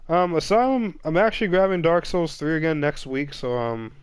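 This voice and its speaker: A man's voice: a little high, moderate pace, clean tone, dry recording. A man with a cold and a stuffed-up voice, hosting a casual gaming stream and rambling to viewers. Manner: rambling, chatty, apologetic. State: congested with a cold. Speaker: stream host. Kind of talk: casual gaming podcast chat.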